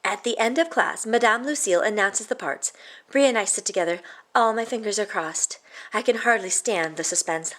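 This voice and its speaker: A woman reading a children's picture book aloud in an expressive, animated storytelling way. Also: mid-range voice, normal speed. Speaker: storybook reader.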